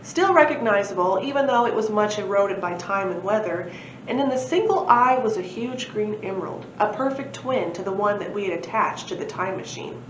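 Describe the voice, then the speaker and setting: Female storybook reader, mid-pitched, expressive, in a roomy space with faint noise. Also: moderate pace, lo-fi sound. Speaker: library read-aloud presenter. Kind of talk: expressive storybook read-aloud narration.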